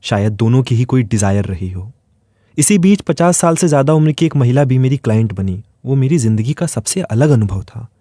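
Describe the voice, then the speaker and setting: A man's voice: medium pitch, animated, moderate pace, dark tone, dry recording, clean studio sound. A man reading a scripted first-person dramatic story as its narrator.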